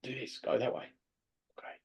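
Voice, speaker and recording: A man coaching amateur hockey players over a call, talking through game footage in an animated way and laughing often. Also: fairly quick. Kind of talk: video review of game footage. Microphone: video call.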